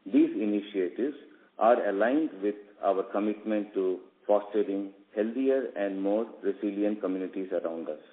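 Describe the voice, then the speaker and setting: A man with an Indian accent reads a formal, scripted business presentation in a measured way, as an executive on a company call. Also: lowish voice. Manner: measured, reading from prepared remarks. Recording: tinny phone line with hum and noise.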